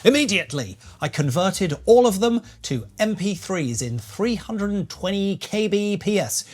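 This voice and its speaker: A man's voice: high voice, big pitch swings, normal speed. A man narrating a scripted tech video in a casual, wry, tongue-in-cheek way with dry asides. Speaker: video creator and host. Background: music bed.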